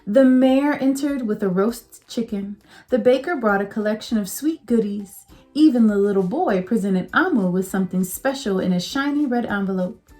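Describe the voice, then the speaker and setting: A woman teacher reading a picture book aloud to children, slow and expressive. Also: medium pitch, faint music behind.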